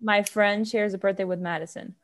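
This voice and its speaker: A woman's voice: medium pitch, fairly even intonation. A teenage girl in playful, bubbly chat with a friend. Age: teens. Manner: bubbly, fast back-and-forth, playful. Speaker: co-host. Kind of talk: casual chat.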